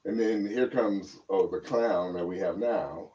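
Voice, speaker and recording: A man with a low, mellow voice talks politics as a show co-host. He is emphatic and opinionated, rambling and doubling back on his words. The audio is muffled, as if he sits away from the microphone. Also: a little slow, recorded from a distance. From born in Germany. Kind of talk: casual political commentary.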